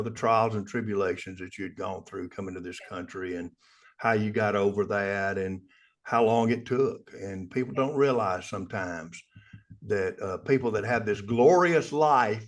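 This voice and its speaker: A man's voice: fairly low pitch, moderate pace. A man co-hosting a lawyers' podcast, talking in a conversational, stumbling way with frequent restarts. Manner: animated, apologetic, stumbling restarts. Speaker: podcast co-host, trial lawyer. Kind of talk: conversational interview.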